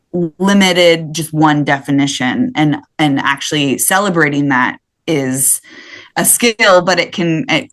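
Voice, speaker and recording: A woman speaking as a podcast guest, reflecting conversationally in thoughtful, halting phrases. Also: sits low, fairly bright tone. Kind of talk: reflective conversational interview talk.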